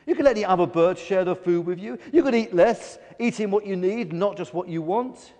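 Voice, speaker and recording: A man voicing a reasonable, earnest gull in a children's story, gently scolding a friend. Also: high voice, a little fast, in a large hall, natural voice. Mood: earnest persuasion.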